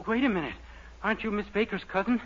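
A young man starring in a radio comedy, with boyish, goofy, excitable delivery. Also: high-pitched, fast talker, slightly rough. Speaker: star of a radio sitcom. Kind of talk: 1940s radio comedy acting. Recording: dull old radio recording with hiss.

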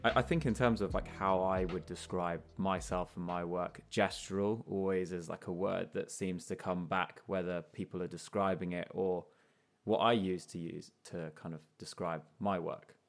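A man with a notably low voice, chatting as an artist guest in an easygoing, unhurried way. He reflects as he goes, often restarting his sentences. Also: fairly even intonation, gravelly, soft background music. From grew up in Portugal, then England. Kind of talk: casual conversational interview.

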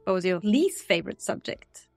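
A woman hosting an interview, reading scripted questions in a steady, measured way. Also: mid-range voice, gravelly, dry recording, faint music behind. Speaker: podcast interviewer. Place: Stockholm.

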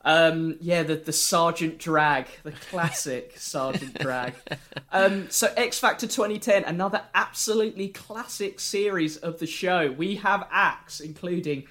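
A man with a slightly raised voice hosts a casual podcast chat. He talks in a wry, joking, unhurried way, tossing out asides as he goes. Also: on the high side, sharp tone. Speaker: podcast co-host.

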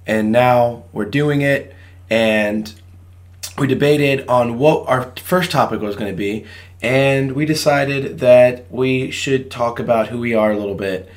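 Young male podcast co-host, voice roughened from a late night, rambling and self-deprecating. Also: mid-range voice, a little slow. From Virginia. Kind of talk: casual chat.

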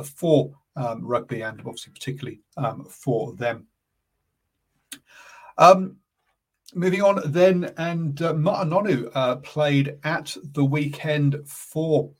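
A calm New Zealand man talks through rugby news in a casual live stream, pausing often with ums and uhs. His voice is husky and a little breathy, set at a middle pitch for a man. He sounds easygoing and soft-spoken. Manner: halting, many ums and uhs. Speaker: rugby podcast host.

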